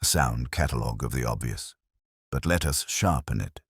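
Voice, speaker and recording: A man voicing a cool, incisive Victorian gentleman detective in an audiobook, lecturing formally and precisely. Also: a bass voice, animated, normal speed, slightly rough, no room sound, clean studio sound, pitched below the performer's own voice, exaggerated voice. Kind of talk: audiobook character dialogue.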